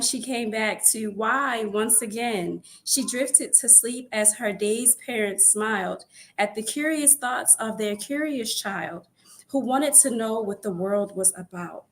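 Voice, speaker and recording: A woman's voice: fairly high pitch, slow. A woman storyteller reading a children's picture book aloud, expressively and with phrases repeated for emphasis. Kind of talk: read-aloud bedtime story narration.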